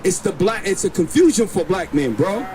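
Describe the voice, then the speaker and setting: A man preaching and teaching scripture outdoors on a city street, talking forcefully and insistently and often repeating himself. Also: high voice, sing-song, normal speed, crowd noise. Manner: forceful, insistent, repeats himself, tells the reader when to read. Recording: noisy outdoor street recording. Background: street noise.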